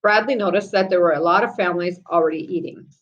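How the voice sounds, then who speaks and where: A woman reading a children's story aloud with deliberate storytelling phrasing. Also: lowish voice, dull audio.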